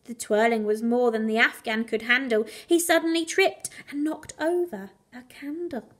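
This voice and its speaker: A woman with a high voice reads a children's storybook aloud. She is expressive and animated, leaning into the rhymes with dramatic emphasis. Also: moderate pace. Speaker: primary school teacher reading a bedtime story.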